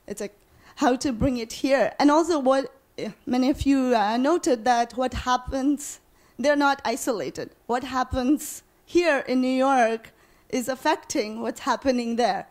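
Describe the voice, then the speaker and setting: A woman's voice: high-pitched, measured pace, a little mellow. A woman from Nepal asking a panel a question from the audience, informal and hesitant, often saying "like".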